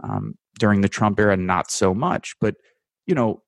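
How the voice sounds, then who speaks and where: A man in his thirties from the United States, a guest writer giving measured, analytical commentary in a radio interview, with frequent fillers and restarts. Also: on the low side, huge pitch swings, creaky, no echo, clean studio sound. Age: 30s.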